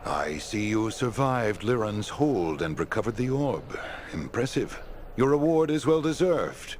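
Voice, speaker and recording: A man playing a shrewd, composed patron in a video game, speaking in a measured, formal, authoritative way. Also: lowish voice, very animated, slight background noise. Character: shrewd, composed male quest-giving patron. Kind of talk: video game dialogue acting.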